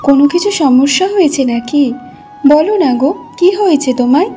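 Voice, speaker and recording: A woman's voice: sits high, animated, a little fast, dark tone, faint music behind. A woman playing a caring, anxious village wife in a cartoon story, speaking with soothing concern.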